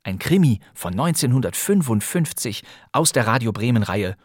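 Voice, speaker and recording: A man hosting a radio-drama podcast, narrating a script in a lively, chatty way and speaking straight to the listener. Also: medium pitch, moderate pace. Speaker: podcast host. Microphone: close studio microphone.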